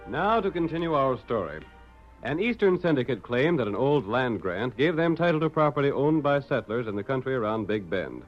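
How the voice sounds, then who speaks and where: A man's voice: mid-range voice, very animated, brisk, soft background music. A man narrating a western drama as a radio announcer, in dramatic scripted 1940s style. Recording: dull vintage radio recording.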